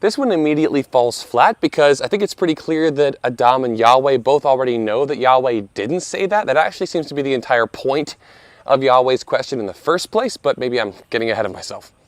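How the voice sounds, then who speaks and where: A man in his thirties talks straight to the listener, his voice a touch hoarse from a cough he hasn't shaken. His pitch sits in an ordinary middle range, and the close, dry sound leaves no room echo. He keeps it earnest and conversational, with a playful aside now and then. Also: animated, fairly quick. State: slightly hoarse from a lingering cough. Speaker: video host, Bible commentator. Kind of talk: casual scripted commentary.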